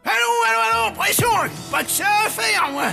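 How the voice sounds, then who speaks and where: A man voicing a bossy, forgetful old zombie grandfather in a children's cartoon, loud and self-important. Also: extremely high pitch, animated, moderate pace, gravelly, exaggerated voice. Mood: bossy, blustering. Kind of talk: French animated cartoon acting. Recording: music behind the voice.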